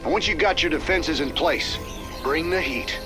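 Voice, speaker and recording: A man's voice: a little high, normal speed, lightly acted. A man voicing a gritty, wry human military commander in a video game, with a casual drawl. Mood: wry, determined. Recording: loud music behind studio voice.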